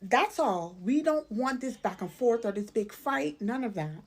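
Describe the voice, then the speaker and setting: A woman's voice: fairly high pitch, animated, unhurried, noisy background. Emphatic Caribbean woman explaining her view. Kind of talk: casual opinion talk to camera.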